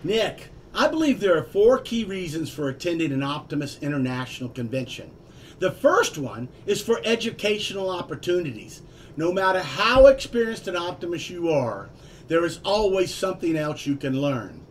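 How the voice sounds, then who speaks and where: A man's voice: high-pitched, very animated, moderate pace, a little hiss or hum. An older man, a club vice president, delivering a scripted promotional dialogue with enthusiasm over a video call from a home office.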